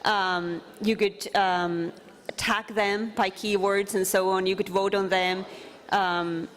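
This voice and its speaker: A woman from Finland, presenting at a conference into a microphone, earnest, with many pauses and fillers. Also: medium pitch, little pitch movement. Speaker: conference speaker. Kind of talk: presentation talk. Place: conference hall.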